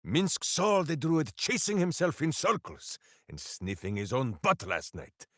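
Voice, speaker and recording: A man's voice: on the high side, wildly swooping pitch, a little slow, fairly bright tone, dry recording, clean studio sound, heavily acted. A man playing a dim, big-hearted warrior hero in a video game, boisterous and theatrical, speaking of himself in the third person. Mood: earnest, simple-hearted. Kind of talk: video game character voice lines.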